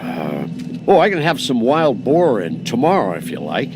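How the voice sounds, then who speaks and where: A man's voice: medium pitch, huge pitch swings, moderate pace. An actor playing a nervous, evasive butcher in a video game, a man who stammers and hesitates apologetically. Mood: nervous, obliging. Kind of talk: cinematic video game acting. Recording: game audio with clear background noise.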